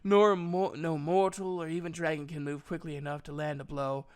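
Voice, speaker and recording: Guy doing a proud elf wizard teacher from a fantasy audiobook, highish voice, gruff but kind, exclaims a lot. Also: level tone, normal speed, close to an everyday voice. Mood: approving, lecturing. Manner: emphatic, old-fashioned speech, exclaiming. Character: proud male elf mage, gruff but kindly mentor.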